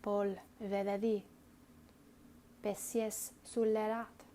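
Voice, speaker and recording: A woman reads an essay aloud as a dramatic performance, deliberate and theatrical, with pointed pauses. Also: medium pitch, level tone, mellow. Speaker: reader. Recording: noticeable background noise.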